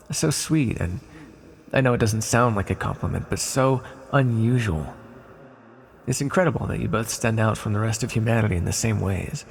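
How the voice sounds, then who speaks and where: A man playing an ancient sealed villain, a calm and tender magical being who speaks in a measured, formal, reassuring way in an ASMR roleplay. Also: on the low side, vocal fry, breathy edge. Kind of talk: ASMR roleplay, scripted monologue to the listener.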